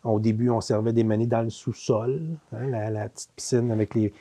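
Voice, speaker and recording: A man's voice: on the low side, flat delivery, brisk, mellow. Quebec man in his fifties, rambling. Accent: Quebec French. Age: 50s. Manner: rambling, many fillers and restarts. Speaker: interview guest, manor co-owner. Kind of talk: casual storytelling interview.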